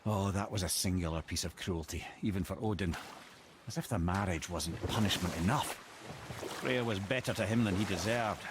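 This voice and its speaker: A man's voice: deep voice, normal speed, natural voice. An actor playing a wry, learned older Scottish storyteller in a video game, eloquent and old-fashioned in his phrasing. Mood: grave storytelling. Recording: engine-like ambient noise.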